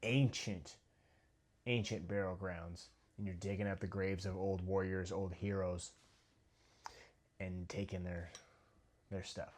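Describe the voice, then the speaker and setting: An American man giving a heated, halting commentary monologue with many restarts and sudden drops in volume. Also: low-pitched, fairly even intonation, darkish tone. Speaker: video commentator.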